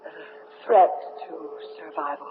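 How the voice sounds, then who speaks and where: A man playing an alien, the last of its race, in a 1970s radio drama, speaking in halting, broken phrases. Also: a little high, creaky. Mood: halting, insistent. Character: alien lifeform, last of its race, pleading to survive. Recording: muffled, noisy old radio broadcast.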